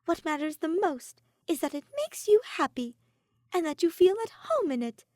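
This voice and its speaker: Storybook girl mage, young adult woman reader acting: theatrical, effusive, affectionate, pitched well up, dry close recording. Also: big pitch swings, moderate pace, a little mellow, dry recording. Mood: reassuring.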